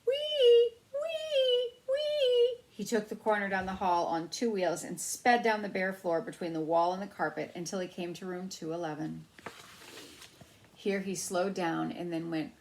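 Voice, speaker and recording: A woman's voice: fairly low pitch, fairly even intonation, moderate pace, rough recording. A woman storyteller reading a children's novel aloud.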